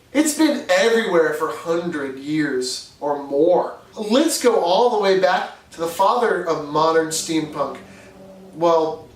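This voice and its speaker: A man's voice: high-pitched, roomy sound, recorded from a distance, rough recording. A man with a New England accent, from New Hampshire, giving an earnest, conversational explainer as a video host. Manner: earnest, conversational, with occasional fillers. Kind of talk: scripted explainer narration.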